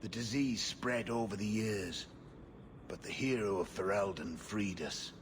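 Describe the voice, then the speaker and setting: An actor playing a remorseful former werewolf, speaking gravely and haltingly in a fantasy video game, with a gravelly voice. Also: male voice, fairly low pitch, background noise. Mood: solemn. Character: remorseful former werewolf, human man, pleading. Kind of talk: video game cutscene acting. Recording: music under the voice.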